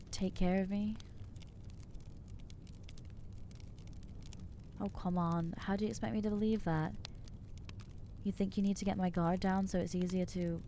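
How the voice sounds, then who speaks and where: A woman voicing an anxious, guilt-ridden new vampire in an ASMR roleplay, halting and self-doubting, with wry exasperation. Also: medium pitch, mellow, slight background noise, lightly acted. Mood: bitter, wary. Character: anxious newly turned female vampire, guilty and overwhelmed. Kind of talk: ASMR roleplay acting to the listener.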